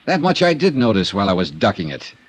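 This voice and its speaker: An actor playing a dry, clipped insurance investigator in a 1950s radio crime drama, narrating and questioning. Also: male voice, fairly low pitch, wildly swooping pitch, fast. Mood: hard-boiled. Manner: dry, clipped, hard-boiled. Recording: old radio broadcast with music.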